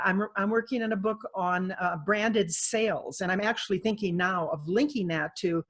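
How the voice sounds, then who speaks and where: A woman author speaking as a guest on a video call, chatty and animated, laughing often. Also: fairly low pitch. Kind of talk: video call interview, conversational.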